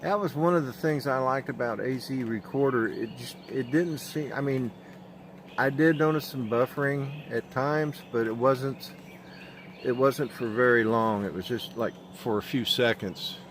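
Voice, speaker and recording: A man with an Oklahoma accent chatting on a live stream from his handheld phone outdoors, talking in a slow, halting monotone and answering viewers' comments. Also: medium pitch, slight background noise. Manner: slow, drawling, halting with many fillers. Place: outdoors at sunrise.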